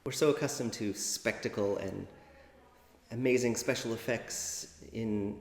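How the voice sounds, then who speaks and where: A man's voice: fairly low pitch, measured pace. A man, a composer, speaking in a thoughtful, hesitant way in a documentary interview. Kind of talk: documentary interview, reflective talk. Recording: echoey reverberant room.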